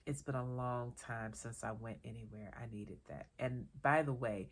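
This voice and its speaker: A woman vlogger in casual solo chat, talking in a relaxed, chatty, wandering way, a little tired and congested from a cold. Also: a bass voice, moderate pace. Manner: chatty, relaxed, digressive with small laughs. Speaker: vlogger and author.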